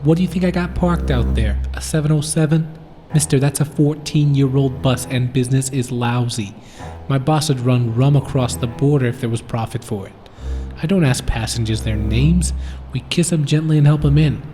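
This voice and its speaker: A man voicing a gruff, wisecracking bus driver in a school radio play. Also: medium pitch, normal speed, mellow, long reverb tail, soft background music, close to an everyday voice. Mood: wry. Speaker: teacher acting.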